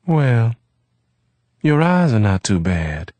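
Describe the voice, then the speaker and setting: A man voicing an affectionate American man who teases his companion in an easy, relaxed way. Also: mid-range voice, slow pace, dry recording, voice pushed up. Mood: teasing.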